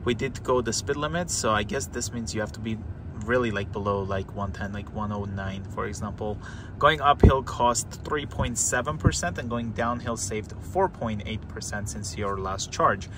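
A man's voice: on the low side, normal speed. A young man in his twenties, hosting a car channel, chatting and explaining step by step into a handheld phone, thinking aloud as he goes. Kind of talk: casual walkthrough vlog. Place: inside a parked car, later outdoors in a winter parking lot. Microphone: phone camera at arm's length. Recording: noisy car and street background.

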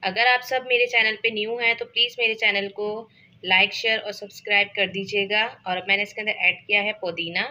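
A woman's voice: medium pitch, steady tone, brisk, sharp tone, rough recording. A woman hosting a cooking channel, talking casually step by step through a home recipe in her kitchen, with a Pakistani Urdu accent. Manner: chatty step-by-step explaining, frequent filler phrases.

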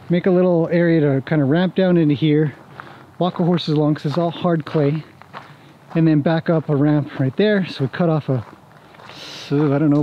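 A man's voice: on the high side, dark tone. A man in his forties talking casually and ramblingly to a camera as he walks outdoors, explaining things in a laid-back, self-deprecating way. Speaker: vlog host and horse paddock owner. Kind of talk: casual walk-and-talk vlog explanation. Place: outdoors on a wooded horse property. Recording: clear outdoor ambient noise.

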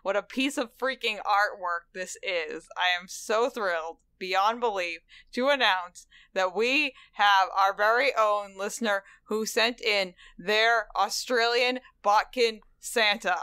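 A woman's voice: medium pitch, slow pace, hardly any pauses, sharp tone, clear, resonant tone, no room sound. Brief: female podcast co-host, gushing and upbeat, dry close mic. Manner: enthusiastic, gushing. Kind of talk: casual chat.

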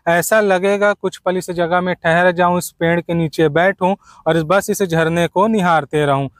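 A man's voice: high-pitched, fairly even intonation, a little slow, dry recording, studio quality. A man from Chhattisgarh in India, narrating a scripted travel guide and addressing viewers directly as friends.

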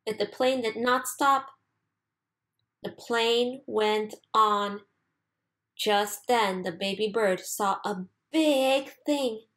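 A woman reading a children's storybook aloud expressively, with deliberate, sing-song emphasis. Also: fairly high pitch, fairly bright tone. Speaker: storybook reader.

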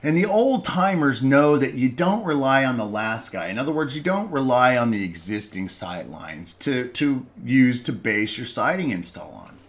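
A man's voice: mid-range voice, lively intonation, moderate pace, hardly any pauses. Plainspoken middle-aged man explaining carpentry. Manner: plainspoken, folksy, with restarts and self-corrections. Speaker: lumber company presenter. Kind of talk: instructional voice-over.

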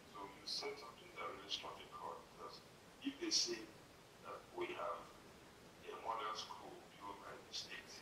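Measured older official in a roomy echo. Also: male voice, on the low side. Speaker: senior government official guest. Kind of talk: encouraging remarks on a video call. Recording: very echoey, distant, noticeable noise.

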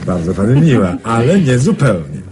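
An actor in a radio play playing a shrewd, suave gentleman, polite, teasing and deliberate. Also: mid-range voice, sing-song, a crowd in the background, natural voice. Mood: courtly, sly. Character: shrewd, suave gentleman owner of a magic ring. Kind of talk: radio drama acting.

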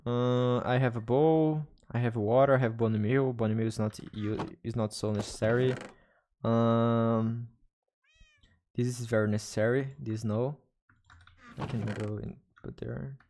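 A man's voice: mid-range voice, fairly even intonation, dark tone, a little hiss or hum. Young Brazilian man streaming in English. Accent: Brazilian Portuguese. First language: Portuguese. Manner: halting non-native English, restarts and searches for words. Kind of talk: casual live-stream chat while gaming. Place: small room at a desk. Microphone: studio mic on arm, headphones.

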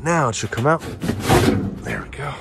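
A man's voice: mid-range voice, wildly swooping pitch, normal speed, gravelly. A man in his thirties, an HVAC technician narrating a repair outdoors while he works, plain-spoken with many fillers. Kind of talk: narrating a repair job on camera. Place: outdoors beside a home's heat pump. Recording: noisy outdoor job site with tool clatter.

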